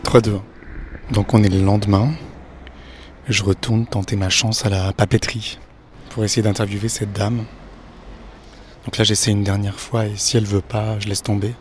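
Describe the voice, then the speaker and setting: A man who hosts and reports for a documentary podcast, narrating and asking curious, gently probing questions. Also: fairly low pitch, level tone, normal speed, long pauses, soft background music. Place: small shop indoors.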